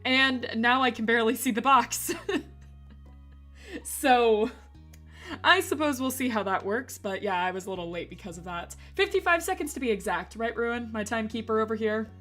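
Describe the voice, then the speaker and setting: Woman, slightly hoarse, bright and forceful tone, chatty giggly streamer, faint music behind. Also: fairly high pitch, moderate pace. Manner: chatty, giggly, talks to chat. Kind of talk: casual live-stream chat.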